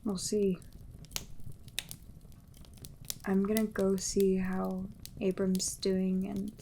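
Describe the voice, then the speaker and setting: Woman acting weary sardonic woman, flat, slow, creaky, faint background noise, fantasy audio drama. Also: mid-range voice. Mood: resigned. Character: weary, bitter woman distrustful of the church.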